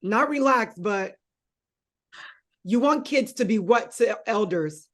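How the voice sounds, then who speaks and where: A woman's voice: a little high, animated, takes it slowly. A woman hosting an interview show, coaxing her guest through a guessing game with patient hints. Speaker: show host.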